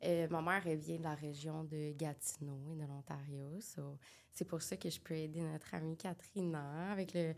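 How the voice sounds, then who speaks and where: Young Québécois woman, gravelly, lower voice, chatty podcast co-host, franglais. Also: steady tone, moderate pace, darkish tone. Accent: Québécois French. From Quebec. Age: young adult. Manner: chatty, laughing, sprinkles English into French. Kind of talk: casual chat.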